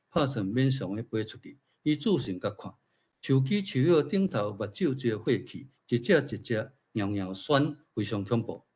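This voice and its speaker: Male writer, Taiwanese accent, husky voice, reads his own prose at a literary talk. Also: medium pitch, darkish tone. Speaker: featured speaker, writer. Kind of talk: reading own fiction aloud with informal remarks.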